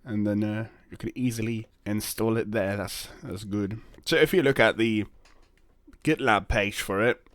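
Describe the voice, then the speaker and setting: A man hosting a casual, unscripted software demo video, thinking aloud in hesitant, stop-and-start phrases. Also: on the low side, normal speed, dry recording. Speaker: host of a Linux software video channel.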